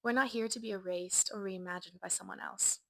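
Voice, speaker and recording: A young woman reading a scripted personal essay as a student presenter, in an earnest, measured way. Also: medium pitch, fairly even intonation, creaky. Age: young adult.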